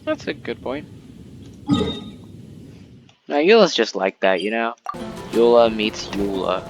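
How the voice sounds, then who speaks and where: A man's voice: mid-range voice, sing-song, slow. A man giving casual, chatty commentary as he plays through a video game. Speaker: gamer, channel host. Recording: game music behind the voice.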